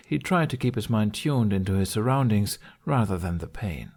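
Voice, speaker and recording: Low-pitched man narrating a story. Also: darkish tone. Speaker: audiobook narrator. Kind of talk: scripted narration of fan fiction.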